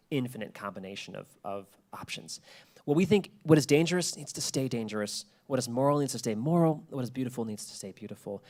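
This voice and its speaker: A man's voice: medium pitch, a little mellow. A man giving a public talk to an audience, speaking emphatically and repeating key phrases.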